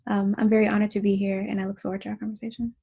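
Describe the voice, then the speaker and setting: A young college student from Texas introducing herself politely, a little hesitant, on a video call. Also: female voice, medium pitch, little pitch movement, very fast, vocal fry, husky, highs cut off. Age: young adult.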